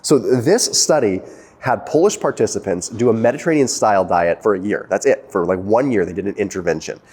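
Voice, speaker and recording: Host, male, very low voice, quick conversational explainer, reverby room. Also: animated, a little mellow, slightly rough. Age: young adult. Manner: fast, conversational, emphatic explaining with fillers like "okay". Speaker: health channel host. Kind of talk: casual explainer monologue.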